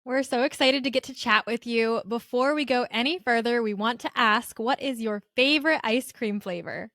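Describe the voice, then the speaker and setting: A woman's voice: on the high side, moderate pace, a bit bright, no room sound, studio quality. A woman co-hosting a podcast in friendly, informal conversation. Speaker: podcast co-host.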